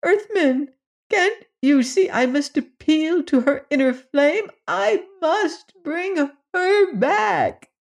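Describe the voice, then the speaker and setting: Squeaky little squirrel-ish alien guy, a woman doing him, whimpery and begging, super formal, way up high. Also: takes it slowly, vocal fry, heavily acted. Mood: anguished. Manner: pleading, overly formal. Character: small pitiful male squirrel-like alien, humble and desperate. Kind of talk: audiobook character voice.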